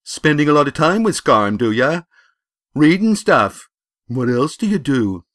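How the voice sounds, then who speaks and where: A man voicing a loud, bullying, heavy-set village boy in a storybook, with rough, taunting speech. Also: a little high, very animated, measured pace, dry recording, studio quality, pitched above the performer's own voice. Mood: jeering. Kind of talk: storybook character voice.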